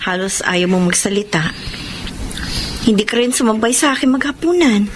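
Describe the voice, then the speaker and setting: A woman's voice: mid-range voice, lively intonation, normal speed, noisy background, natural voice. A woman acting in a Filipino radio drama as an ambitious young married woman who turns defensive and pleading. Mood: worried, probing. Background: dramatic music.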